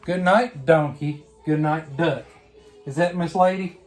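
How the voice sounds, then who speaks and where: A woman reading a picture book aloud to a young child, pausing to ask simple questions. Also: low-pitched, a little mellow, faint music behind. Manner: simple questions and prompts addressed to a small child. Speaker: bedtime story reader.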